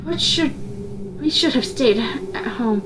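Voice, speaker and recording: A woman's voice: sits high, animated, a little slow, noisy background. A woman reading scripted narration from a visual novel aloud, occasionally stumbling and repeating words. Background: clear background music.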